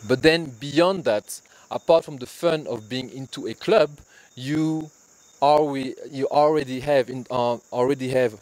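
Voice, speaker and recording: A man's voice: mid-range voice, a little slow, darkish tone, no echo, low-quality recording. A man in his forties from Rwanda, a DJ giving an earnest, reflective talk to workshop students. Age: 40s. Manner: earnest, reflective, speaks in repeated lists. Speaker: DJ leading a workshop.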